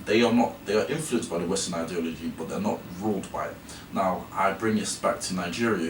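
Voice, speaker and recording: A young man from England gives a school presentation in a very low voice, hesitating and restarting his phrases as he goes. He sits well back from the microphone in a reverberant room, with clear noise underneath. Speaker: student presenter. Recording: echoey room, from a distance, noisy.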